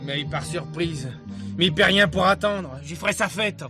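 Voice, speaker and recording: A vengeful young village tough played by an actor in a French TV drama. His voice is high for a man, surly and slangy. Music runs behind it. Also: normal speed, natural voice. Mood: angry, sullen. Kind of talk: French television drama acting.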